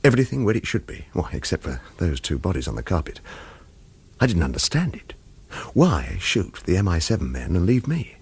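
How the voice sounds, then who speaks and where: A man playing a wry, hard-boiled hero in a radio thriller, speaking in a terse, dry, husky way. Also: low voice, big pitch swings, fairly quick, a little mellow, heavily acted. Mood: wary, puzzled. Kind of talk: scripted first-person radio thriller narration and dialogue.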